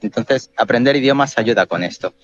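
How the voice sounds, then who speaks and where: A man hosting a podcast, calmly explaining ideas step by step with examples. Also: medium pitch, a little fast, a crowd in the background. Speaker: podcast host. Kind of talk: explanatory casual chat. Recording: dull, muffled sound.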